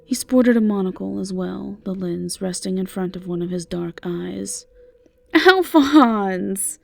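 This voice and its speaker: Creaky-voiced woman narrating a fantasy story. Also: fairly low pitch, level tone, normal speed, mellow. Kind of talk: scripted fantasy audio roleplay narration.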